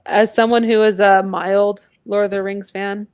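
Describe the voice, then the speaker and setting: A woman with a mid-pitched voice, recorded very dry, banters as a podcast co-host. She is blunt, casual and irreverent. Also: flat delivery, unhurried, darkish tone, clean tone, no room sound.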